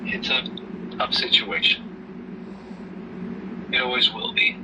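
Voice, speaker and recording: A man playing a protective, caring father in an amateur audio drama, speaking in an earnest, measured, consoling way. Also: natural voice. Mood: reassuring. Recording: distant mic, noisy background hum.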